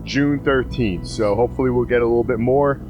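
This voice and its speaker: A man's voice: mid-range voice, fairly quick, dull audio, music in the background. Swearing, jokey male podcast host outdoors. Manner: profane, laughing, wraps up the show. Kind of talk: casual joking banter. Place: outdoors on a freezing street.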